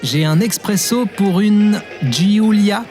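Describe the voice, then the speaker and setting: An actor playing an easygoing, forgetful, cheerful young barista in an audio drama, with a casual, good-natured manner. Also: male voice, high voice, lively intonation, takes it slowly, over music. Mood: cheerful, friendly. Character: Timo, easygoing, forgetful, cheerful young male barista telling his past. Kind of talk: audio drama acting and informal storytelling.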